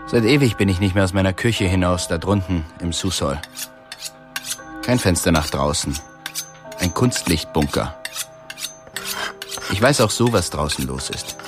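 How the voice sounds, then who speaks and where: A grim doom-saying cook. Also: male voice, sits low, animated, a little slow, pauses often, music in the background. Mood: brooding. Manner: brooding, solemn, apocalyptic. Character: male cook in windowless basement kitchen, grim prophet of doom. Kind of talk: radio play monologue.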